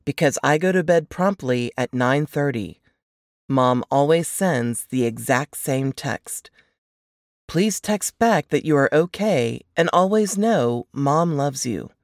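A woman reading a first-person novel as scripted narration, in a dramatic, expressive manner. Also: low-pitched, dry recording, studio quality.

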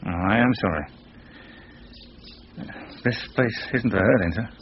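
An actor playing a polite, deferential British house agent in a radio thriller. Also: male voice, on the low side, a little slow. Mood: cautionary, sympathetic. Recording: muffled recording, faint noise.

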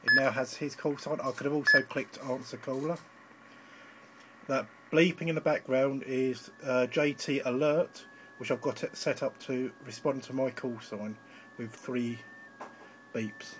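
A man's voice: medium pitch, steady tone, a little slow, noisy background. A man giving an informal, slightly hesitant live demonstration as an amateur radio operator, recorded on a handheld phone indoors. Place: indoors at a radio station desk.

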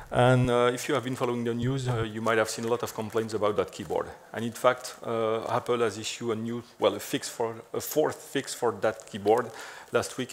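A middle-aged man from French-speaking Belgium with a French accent, giving a conference talk on stage in a chatty, filler-heavy way. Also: medium pitch. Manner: chatty, frequent "so" and "uh" fillers, light self-deprecating humor. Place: large dark conference stage.

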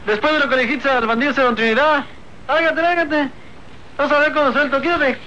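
A man playing an excitable young country sidekick with a Mexican accent, whining and protesting in a radio soap opera. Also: very high voice, brisk. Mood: indignant. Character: excitable, whiny young country sidekick, comic and loud-mouthed. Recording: dull, noisy old radio serial recording.

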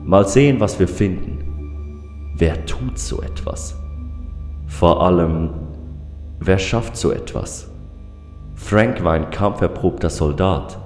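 An actor playing a weary, troubled coroner in a German audio drama, speaking in a deliberate, brooding, clinical way. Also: male voice, low voice, darkish tone. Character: weary, troubled male small-town coroner. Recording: echoey hall reverb, music behind.

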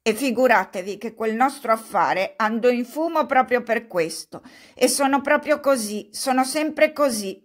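A woman reading aloud, voicing a fawning old male buffoon who talks loudly and effusively. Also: fairly high pitch, measured pace, natural voice. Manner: loud, effusive, self-mocking clowning.

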